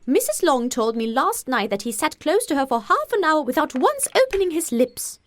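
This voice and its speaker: A woman voicing a fussy, excitable middle-aged mother in an audiobook, gossipy and indignant, with emphatic exclamations. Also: high-pitched, moderate pace, no room sound, pitched above the performer's own voice. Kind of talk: audiobook character voice.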